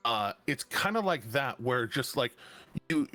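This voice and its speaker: Slow, halting man running a game. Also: mid-range voice, animated, bright, forceful tone, soft background music. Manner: slow, halting, many restarts and fillers. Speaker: game master. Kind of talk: narrating a tabletop game.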